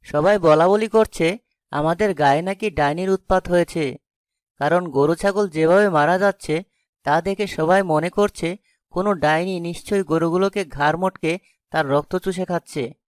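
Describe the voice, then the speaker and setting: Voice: mid-range voice, moderate pace, dry recording, close to an everyday voice. An actor voicing a kind-hearted young village cowherd lad in a fairy-tale cartoon. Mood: worried, earnest.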